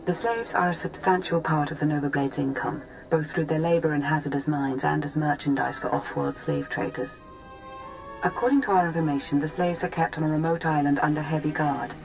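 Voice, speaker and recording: A woman's voice: low voice, steady tone, brisk, creaky, a little mellow, faint music behind, low-quality recording. A woman playing a composed, businesslike intelligence operative in a video game, giving formal briefings.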